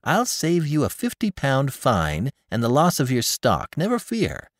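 A man voicing a blunt, rough-spoken male woodman who scorns his neighbor. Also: medium pitch, huge pitch swings, unhurried, dry recording, voice pushed up. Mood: scornful.

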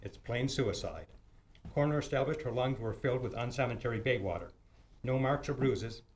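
An actor playing a teasing, cocksure police homicide detective in an old-time radio mystery, with smug, clipped delivery. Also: male voice, medium pitch, brisk, darkish tone, natural voice. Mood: matter-of-fact. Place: theatre stage, live performance. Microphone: stand mic on stage.

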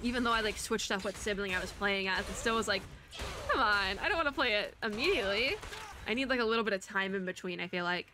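A woman's voice: mid-range voice, fast talker, noisy background. A young woman casually talking through a video game, chatty and rambling, with a stuffy nose. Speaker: streamer. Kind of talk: casual gameplay commentary.

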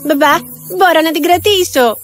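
A woman's voice: extremely high pitch, soft background music. A woman voicing an eager, affectionate young village girl in a cartoon fairy tale, pleading and childlike. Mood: excited. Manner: bright-eyed, pleading, childlike.

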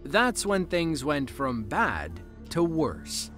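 A man narrating a scripted documentary in a lively storytelling way with dry asides. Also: a little high, slow pace. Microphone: voice-over, studio mic. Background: soft background music.

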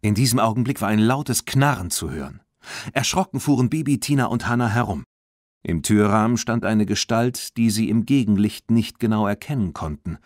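A low-voiced man narrating a children's audiobook. His delivery is expressive and very melodic, following a script. The recording is dry and close. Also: moderate pace, dry recording.

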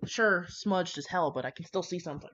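A woman's voice: lowish voice, fairly quick, fairly bright tone. A woman rambling casually with dramatic asides, brash, slangy and full of swearing as she comments on fan fiction. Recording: dull lo-fi microphone.

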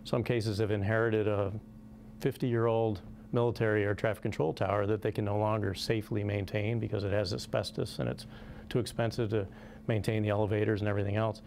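A man in his forties with an American accent, giving halting, measured explanations in an interview. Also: fairly low pitch, flat delivery, vocal fry, a little mellow. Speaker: company representative being interviewed. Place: small indoor conference room. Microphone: lapel.

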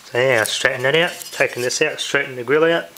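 Aussie guy muttering through a diy fix, medium pitch, noisy camera mic with clicks. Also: fast talker, pushed and bright. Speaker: DIY vlogger. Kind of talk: casual hands-on repair commentary. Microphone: handheld camera's built-in mic.

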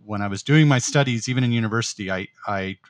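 A middle-aged man, born in the Netherlands, co-hosting a podcast as a professor, speaking thoughtfully with many pauses and restarts. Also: lowish voice, dry recording. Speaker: podcast co-host, political science professor. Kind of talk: casual conversational podcast.

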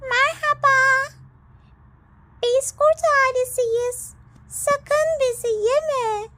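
A tiny, friendly storybook worm, acted out by a woman, speaking in a very high, squeaky pitch. The little creature sounds cutesy and imploring, as in a tale told to small children. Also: very slow, noisy background, exaggerated voice. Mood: pleading greeting. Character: family of tiny friendly apple worms, pleading.

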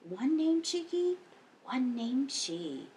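A high-voiced woman in her fifties reads to small children like a storytime librarian. Her delivery swings widely in pitch and takes its time, with expressive, exaggerated phrasing. A little room noise sits behind her. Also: slow pace. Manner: animated, exaggerated, with audible gasps and hushed whispers. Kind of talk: expressive read-aloud storytime for young children. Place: indoor lounge, seated on a couch.

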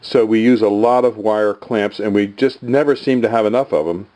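A man's voice: fairly low pitch, normal speed, warm tone. A man who runs a tree farm, talking plainly and folksily about a trade offer, with some fillers. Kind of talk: casual promo talk.

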